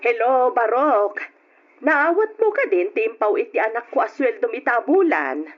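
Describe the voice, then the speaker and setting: A woman's voice: on the high side, big pitch swings, measured pace, faint music behind. A woman playing a kindly aunt in a radio drama, encouraging and reassuring. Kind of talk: Ilocano radio drama acting. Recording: dull lo-fi old radio recording.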